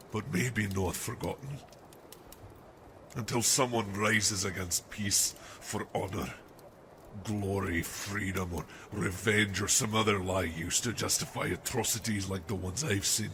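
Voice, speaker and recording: A man playing a haunted, fatherly older army captain in a dramatic radio play, speaking gravely and deliberately over noisy ambience. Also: on the low side. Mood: haunted, weary. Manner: grave, deliberate storytelling, calls listener "laddie". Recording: noisy amateur audio drama with outdoor ambience.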